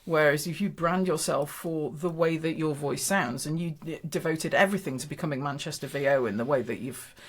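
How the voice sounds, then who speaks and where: A woman's voice: low-pitched, a little fast, hardly any pauses. A woman guest on a casual podcast, giving confident business advice with frequent restarts.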